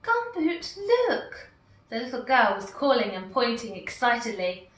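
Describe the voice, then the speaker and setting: Friendly, eager little girl, high and sparkly, as a woman reading a story puts her on, echoey and distant. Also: sing-song, unhurried, slight background noise. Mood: excited. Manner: bright, eager, pointing things out. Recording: echoey room, far from mic.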